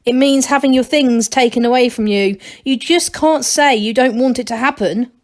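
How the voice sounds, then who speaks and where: A woman's voice: sits high, moderate pace, voice pushed up, lightly acted. A woman voicing a knowing, sheepish old male gnome guide in a storybook. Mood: flustered.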